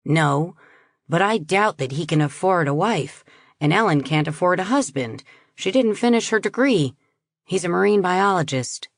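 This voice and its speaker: A man's voice: sits high, animated, normal speed, no room sound, studio quality, pitched above the performer's own voice. A woman voicing a stiff, prejudiced middle-aged husband who talks in a stubborn, evasive way.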